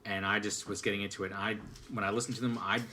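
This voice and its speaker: A man in his forties on a podcast panel, telling stories in a halting, self-mocking way. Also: fairly low pitch, level tone, sharp tone, slight background noise. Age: 40s. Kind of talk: casual chat.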